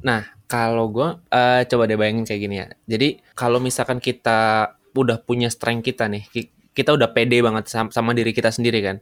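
Man, average pitch, casual co-host, hesitant, works through ideas aloud. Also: little pitch movement, brisk. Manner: hesitant, restarts while reasoning. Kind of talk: casual podcast chat.

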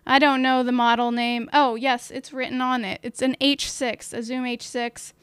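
A woman hosting her own podcast on a live stream, chatty and upbeat, with a loud voice and lots of fillers, talking into a studio mic at home. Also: a little high, little pitch movement, normal speed, clear, resonant tone, no echo. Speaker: podcast host. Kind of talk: casual live-stream chat. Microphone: studio mic with portable recorder.

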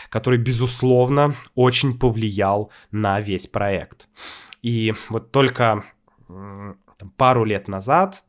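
Hesitant man explaining at an easy pace. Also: mid-range voice. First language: Russian. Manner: thoughtful, hesitant, with many fillers and restarts. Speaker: Russian language teacher and YouTuber. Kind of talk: casual explanatory monologue.

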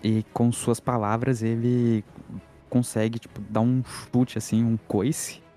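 A man with a Brazilian accent playing a tabletop game, improvising dramatic narration about his character in deliberate phrases. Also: mid-range voice, flat delivery, warm tone, faint music behind.

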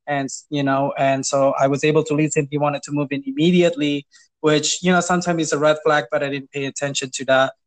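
A man's voice: fairly high pitch, level tone, fast talker, rough recording. Hesitant man telling property tales. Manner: hesitant, many fillers and restarts; amused, chuckles while telling. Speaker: guest, property manager. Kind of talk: casual storytelling interview.

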